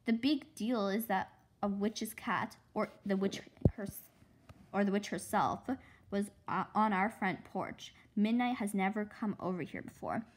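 A woman's voice: mid-range voice, unhurried, noisy background, close to an everyday voice. A woman reading a children's book as an imaginative, anxious little girl who insists dramatically on her fears. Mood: insistent. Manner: dramatic, emphatic. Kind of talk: children's book read-aloud.

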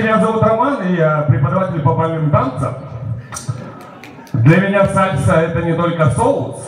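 A man warming up a comedy crowd, repeating the same catchphrase again and again. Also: high voice. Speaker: opening comedian. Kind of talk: stand-up warm-up set. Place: theater stage. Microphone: handheld mic, filmed from far. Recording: echoey room, distant mic.

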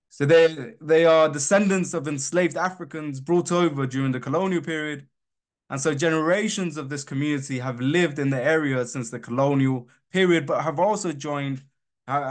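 A young man from Colombia with a British accent, presenting his research in a measured, earnest academic talk. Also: medium pitch.

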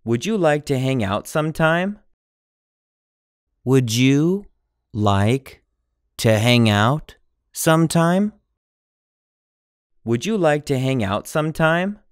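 A man's voice: medium pitch, big pitch swings, slow, pauses often, clear, resonant tone, dry recording, clean studio sound. A man with a General American accent reading English phrases for learners, saying each one normally, then word by word. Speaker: English teacher. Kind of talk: slow language-drill reading.

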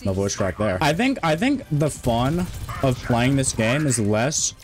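A man in his twenties streaming a game, talking in a rambling, monotone way with many restarts. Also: mid-range voice, unhurried, faint music behind. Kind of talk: casual stream chat.

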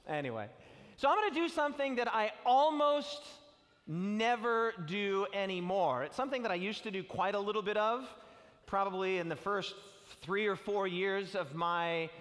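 High-voiced joking pastor in a reverberant hall. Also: male voice, normal speed. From not Australian, lives in Australia. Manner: animated, joking storyteller with big gestures. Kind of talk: sermon with opening prayer. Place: large auditorium stage with audience. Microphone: headset mic. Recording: auditorium with long reverb and faint music.

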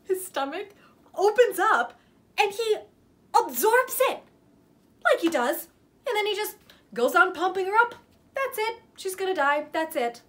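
High-voiced, excitable young woman telling horror, played by an actress. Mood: frantic, excited. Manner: halting, stammering, bursts of nervous laughter. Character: excitable young woman breathlessly acting out a gory horror film. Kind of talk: theatrical audition monologue.

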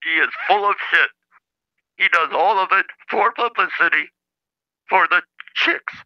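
A man voicing an indignant caller in a comedy phone-in, with a stilted, insistent, synthesizer-like flat delivery over the telephone. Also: high voice, a little slow. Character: indignant male caller claiming to be a famous scientist's brother, robotic-talking. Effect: telephone call. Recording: dull lo-fi phone line.

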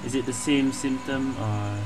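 A woman's voice: extremely low pitch, steady tone, unhurried. A woman giving casual play-along game commentary, musing and thinking aloud. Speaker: gaming streamer. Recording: game music behind the voice.

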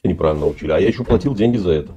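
A man's voice: sits low, animated, very fast, warm tone, slight background noise. A middle-aged man on a webcam video chat in a room, asking questions with a feigned naive manner. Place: indoor room.

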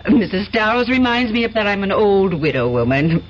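Woman playing a gushing, animated, flirtatious aunt. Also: medium pitch, brisk, close to an everyday voice. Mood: gushing, piqued. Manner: effusive, coquettish, chatty. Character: gushing, flirtatious widowed aunt, easily piqued. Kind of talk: 1970s radio drama acting. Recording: dull, faintly noisy 1970s radio recording.

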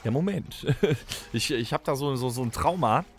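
A man's voice: mid-range voice, lively intonation, normal speed. A middle-aged man from Germany hosting a podcast, chatting casually and rambling with self-mocking asides. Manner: rambling, self-mocking, lots of filler sounds. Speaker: podcast host. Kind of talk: casual chat in a staged holiday audio play.

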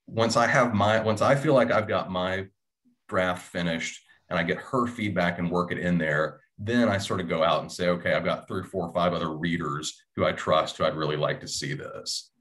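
A man's voice: deep voice, normal speed, fairly bright tone. A middle-aged man talking candidly and conversationally as a panelist on a video call, often checking in with a question. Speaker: panelist, audio drama writer. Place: indoor room at home, video call.

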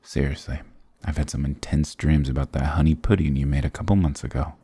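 Very deep, gravelly flirty goth guy, acted by a man. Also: a little fast, dark tone, no room sound, exaggerated voice. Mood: teasing, curious. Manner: teasing, affectionate, mock-dramatic. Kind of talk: intimate ASMR roleplay acting.

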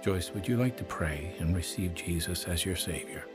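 An actor playing a gentle, earnest pastor in a radio drama, patient and solemn as he counsels, with a husky voice. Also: sits low, normal speed, over music, close to an everyday voice. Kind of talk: audio drama acting, reading scripture aloud.

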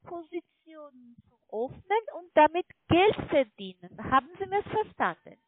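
A woman telemarketer with a foreign accent, not a native German speaker, making an insistent, halting phone sales pitch and often checking for agreement. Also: very animated. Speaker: call centre telemarketer selling online trading. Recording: muffled phone line, fluctuating microphone volume.

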